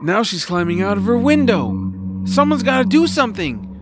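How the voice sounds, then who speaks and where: A man voicing an eager, earnest young boy hero with an excitable manner in a family fantasy audio drama. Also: high voice, moderate pace, voice pushed up. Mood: urgent.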